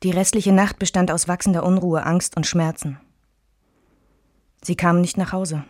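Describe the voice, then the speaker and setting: Actress as a low-voiced, restrained weary wife. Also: female voice, steady tone, normal speed, darkish tone, dry recording, clean studio sound, lightly acted. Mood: restrained, reflective. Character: weary wife and mother recalling her troubled marriage. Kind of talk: literary first-person narration in a radio play.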